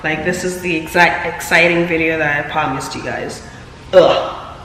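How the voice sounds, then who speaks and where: A young woman with a raspy voice from being sick, chatting casually through a tour of her new apartment. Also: low voice, fairly even intonation, normal speed, a bit bright. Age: young adult. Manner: chatty, rambling with many fillers. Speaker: vlogger. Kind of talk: casual home tour vlog. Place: empty apartment, indoors. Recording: echoey empty apartment with hum.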